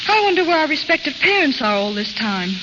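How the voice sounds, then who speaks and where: An actress playing a refined young society woman who gently teases, in old radio drama style. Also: sits high, very animated, fast. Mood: teasing. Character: genteel young society woman, teasing and attentive. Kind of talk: 1940s radio romance acting. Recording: muffled, noisy old radio broadcast.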